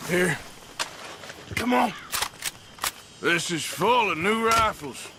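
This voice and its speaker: An actor playing a cool-headed, sly Wild West gunslinger in a video game, with an American accent and a dry, folksy manner. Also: male voice, sits high, expressive, slow pace, noisy background. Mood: brisk.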